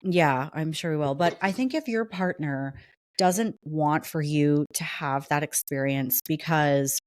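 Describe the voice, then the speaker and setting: A woman co-hosting a podcast, explaining things in a steady, casual way. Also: low voice, unhurried, dry recording, clean studio sound. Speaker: podcast co-host.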